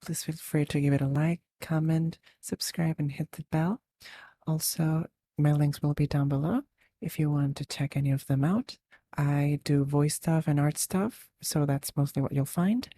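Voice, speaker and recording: Man giving an unhurried channel outro. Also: on the high side, fairly even intonation, warm tone, dry recording, clean studio sound. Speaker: voice actor and channel host. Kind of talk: scripted-sounding channel outro.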